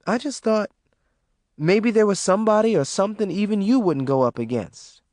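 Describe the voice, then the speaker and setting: A man's voice: high voice, lively intonation, moderate pace, no room sound, clean studio sound, voice pushed up. A man voicing a timid, worried eighteen-year-old woman, speaking hesitantly. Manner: hesitant, soft-spoken.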